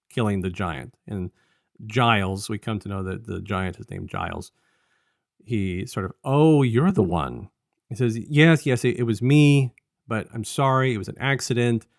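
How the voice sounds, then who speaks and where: A man with a fairly low voice talks as a podcast co-host in a dry recording. He retells a story casually and ramblingly, dropping into colloquial versions of the dialogue as he goes. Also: creaky, dry recording. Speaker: podcast co-host reviewing an audio drama. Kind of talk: casual conversational story recap.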